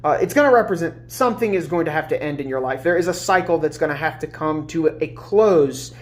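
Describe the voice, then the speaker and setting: A woman's voice: low voice, lively intonation, a little fast. A congested woman, a little under the weather, giving a casual collective tarot reading, chatty with many fillers and restarts. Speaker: tarot reader.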